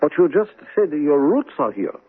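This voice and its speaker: An actor playing an elderly, courteous, philosophical gentleman in a radio drama, speaking formally and deliberately. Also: a little high, very animated, breathy edge, narrow, tinny audio. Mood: grave, thoughtful. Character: elderly, philosophical Chinese gentleman, dry and courteous club member. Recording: old radio broadcast sound.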